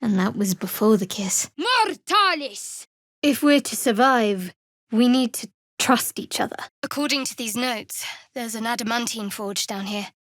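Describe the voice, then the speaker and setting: A woman voicing a guarded, sardonic young cleric of a dark goddess in a video game, with dry, clipped, wry delivery. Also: a little high, lively intonation, a little slow, no echo. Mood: earnest, guarded, then forceful incanting. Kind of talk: video game character dialogue.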